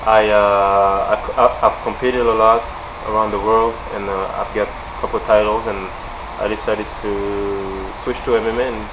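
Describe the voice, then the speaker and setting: A young man with a French accent, a fighter being interviewed in a large indoor gym, speaking haltingly with many fillers. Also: lowish voice, flat delivery, background noise. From France. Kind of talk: casual interview.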